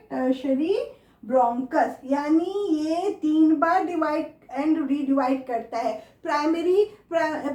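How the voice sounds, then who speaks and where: Indian lady teacher doing a school lecture, high soft-edged mellow voice, roomy echo, repeats stuff. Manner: didactic, repeats questions and key terms for the learner. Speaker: biology teacher. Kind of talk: classroom lecture for school students. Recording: echoey room.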